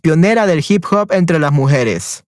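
A man's voice: fairly high pitch, lively intonation, a little slow, a little mellow, no room sound, clean studio sound. A man teaching a Spanish lesson to an online group, reading quiz questions aloud and switching often between Spanish and English, repeating his affirmations. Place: online class, talking to students.

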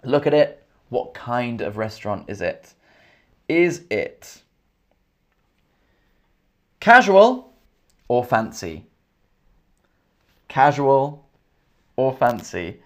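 A man from England with an English accent, teaching a live online English lesson, slowly repeating each question and thanking viewers by name. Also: medium pitch, big pitch swings. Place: indoors, in Scotland.

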